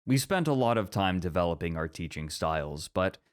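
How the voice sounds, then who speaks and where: Guy acting as a deep voiced scholarly professor, calm, measured, kinda formal, asmr roleplay. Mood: reflective. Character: composed, introspective, scholarly male college teacher.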